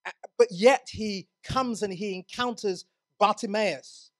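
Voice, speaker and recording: A man's voice: high-pitched, unhurried. A man from England preaching a sermon in a church, earnest, often restarting his words.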